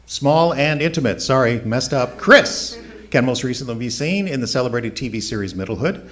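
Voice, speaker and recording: A man's voice: mid-range voice, animated, moderate pace, fairly bright tone. A man hosting a live stage show, welcoming the audience and introducing performers in a jokey, informal announcer's manner. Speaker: host and founder of a radio theater. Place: on stage in a theater before an audience. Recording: noisy live theater recording.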